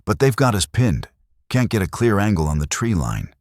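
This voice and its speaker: A man voicing a gruff, excitable gunfighter under siege in a Western story, with clipped speech. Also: fairly low pitch, moderate pace, no room sound, clean studio sound, voice pushed up. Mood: alarmed shouting. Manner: bellowing, clipped.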